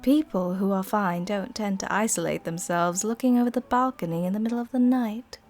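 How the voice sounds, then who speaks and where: A woman playing a worldly, softly dominant vampire countess in an intimate roleplay ASMR, with a soft, gentle, tenderly commanding way of speaking. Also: medium pitch, fairly quick, lightly acted. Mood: composed, inviting.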